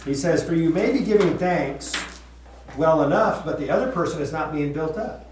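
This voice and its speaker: A man teaching a casual Bible study to a group, chatty and quick to laugh, often repeating and restarting his words. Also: a little high, a little mellow, a little hiss or hum. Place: room with group listening. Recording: echoey room, voice from far off.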